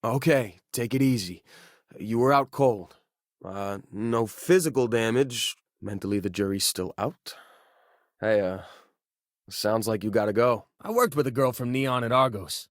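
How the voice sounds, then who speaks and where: A man's voice: mid-range voice, measured pace, dry recording, studio quality, natural voice. An actor playing an easygoing, joking young male space miner in a video game, talking in casual, wisecracking slang. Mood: mellow, joking. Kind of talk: video game voice lines, conversational.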